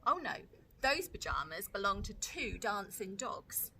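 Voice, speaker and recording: A woman's voice: mid-range voice, big pitch swings, measured pace, pushed and bright, slight background noise, full character voice. A woman voicing a very small, funny little sister from a storybook, stubborn, imaginative and full of chattering excuses. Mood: playfully insistent. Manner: insistent, excuse-making, chattering.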